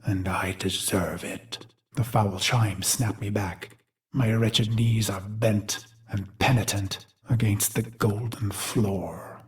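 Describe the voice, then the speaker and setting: A grim brooding warrior who hates himself, game monologue, real slow and fairly low. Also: male voice, fairly even intonation. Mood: grim, bitter. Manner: solemn, deliberate, brooding. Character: grim, self-loathing male warrior recounting memories. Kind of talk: dramatic scripted game monologue.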